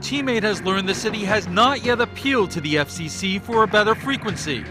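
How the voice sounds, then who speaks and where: High-pitched American male news reporter. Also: lively intonation, a little fast, over music. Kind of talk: scripted investigative news narration.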